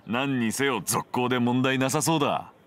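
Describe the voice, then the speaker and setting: A man's voice: medium pitch, pushed and bright. An actor playing an easygoing, amused male friend in an anime comedy, casual and teasing. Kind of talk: Japanese anime comedy voice acting. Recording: music playing behind the voice.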